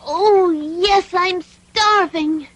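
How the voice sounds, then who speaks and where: A woman voicing an earnest, excitable wooden puppet boy in a dubbed cartoon, childlike, exclamatory and innocent. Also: extremely high pitch, extremely slow, full character voice. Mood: polite, needy. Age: child figure.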